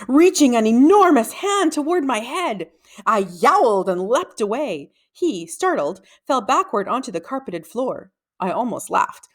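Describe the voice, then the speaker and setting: A woman reading a children's book aloud as an expressive, dramatic storyteller. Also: fairly high pitch. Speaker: read-aloud reader.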